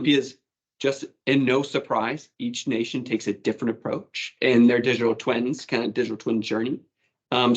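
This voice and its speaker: A man's voice: mid-range voice, muffled recording. A man answering questions on a panel, speaking casually with many "kind of"s and "um"s.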